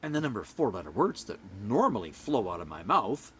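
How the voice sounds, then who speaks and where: A middle-aged man from Canada with a loud voice, ranting bluntly to camera and swinging from hushed whispers to forceful outbursts. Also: on the low side, big pitch swings, a little fast. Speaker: vlogger. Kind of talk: personal rant to camera.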